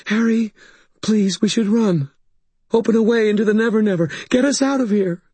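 Casting: male narrator as frightened young woman, high and breathy, anxious, pleading, for a fantasy audiobook. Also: normal speed, mellow, no echo. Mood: urgent pleading.

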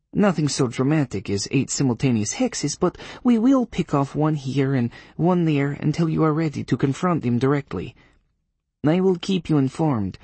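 Male narrator putting on a haughty Polish witch woman, dignified and sarcastic, higher lively voice, husky edge. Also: no echo, full character voice. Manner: dignified, sarcastic, coolly diplomatic.

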